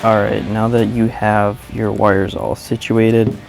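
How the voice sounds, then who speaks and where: Low-voiced man, casual tutorial. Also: flat delivery, slow pace, gravelly, music in the background. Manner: informal, plain-spoken. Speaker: motovlogger presenting a how-to tutorial. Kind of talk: casual step-by-step instructional narration.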